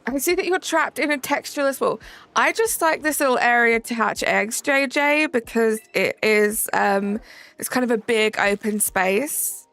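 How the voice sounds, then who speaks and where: A woman's voice: fairly high pitch, expressive, moderate pace, a bit bright, no room sound. Chatty southern English woman streamer. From Southern England. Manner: chatty, answers chat with fillers like "um" and "like". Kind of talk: casual live-stream chat reading comments.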